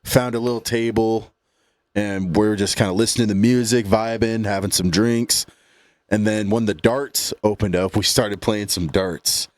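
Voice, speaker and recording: Low-voiced laid-back man in his late 20s. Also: steady tone, normal speed, dry recording. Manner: laid-back rambling storyteller. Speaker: podcast co-host. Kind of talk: casual chat.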